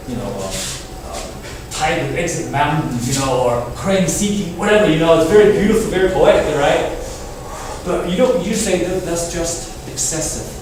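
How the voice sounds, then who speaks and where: Instructor, male, from Taiwan, mid-high voice, heard from across a booming hall with steady noise behind. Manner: loose, repeats "okay?" and "right?" to check understanding. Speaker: martial arts instructor. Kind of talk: explaining in class. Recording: echoey hall, distant mic, background noise.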